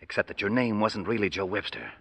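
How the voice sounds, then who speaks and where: Husky, forceful scheming older family man. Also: lowish voice, sing-song, brisk, full character voice. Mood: hushed, urgent. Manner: urgent, persuasive. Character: scheming middle-aged embezzler, outwardly fatherly, urgent and smooth-talking. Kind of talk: old-time radio drama acting.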